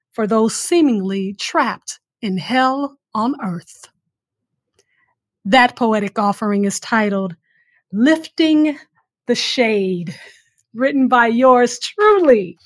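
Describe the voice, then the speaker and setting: A woman with a somewhat high voice hosts a podcast in a dry, close sound. She is effusive and gracious, and she places her words deliberately as she addresses guests and listeners. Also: no echo. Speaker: podcast host. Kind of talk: warm interview hosting with sign-offs.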